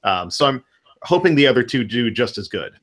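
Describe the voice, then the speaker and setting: Man, thirties, mid-pitched voice, eager, explaining, casual video-call chat. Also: very animated, fairly quick, a bit bright. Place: home room. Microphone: headphones with studio mic on a video call.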